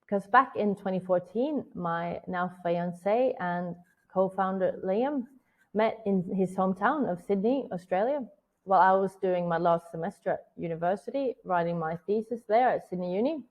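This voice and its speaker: A woman's voice: mid-range voice, moderate pace, darkish tone, rough recording. A woman in her thirties from Sweden with a Swedish accent, giving an earnest, scripted webinar talk as a virtual event strategist. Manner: earnest, personal storytelling.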